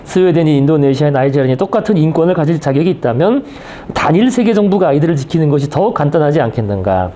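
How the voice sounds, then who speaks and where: A man's voice: on the high side, big pitch swings, fast, few pauses, mellow, slight background noise. A man leading a reading group, reading aloud from a book and discussing it, often checking in with his listeners. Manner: checks in with listeners using short tag questions.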